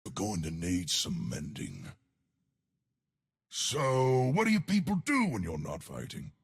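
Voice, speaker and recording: An actor playing a giant, cheerful living stone colossus in a video game, good-natured and dim, with a gravelly, husky, booming voice and a hearty, emphatic delivery. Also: male voice, huge pitch swings, unhurried, exaggerated voice. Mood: eager, combative. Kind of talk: video game character voice lines.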